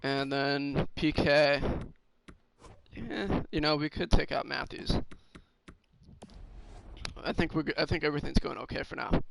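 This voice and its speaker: A man with a slightly hoarse, raspy voice, casually commentating on his own game and thinking aloud with lots of fillers. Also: a little high, unhurried, pauses often, low-quality recording. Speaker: gaming streamer.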